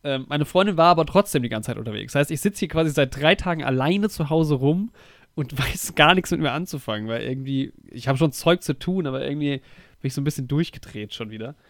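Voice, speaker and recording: A man's voice: on the high side, lively intonation, fast talker, dry recording, studio quality. A young adult man with a slight cold, chatting casually and in slangy as a podcast co-host.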